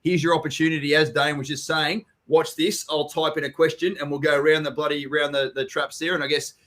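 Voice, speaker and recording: A man's voice: on the high side, level tone, fast, fairly bright tone. An Australian man co-hosting a casual live online chat, talking in an informal, blokey way and swearing freely. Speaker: co-host of a property live Q&A, company general manager. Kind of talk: casual live chat, reading out viewer comments. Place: live online video stream.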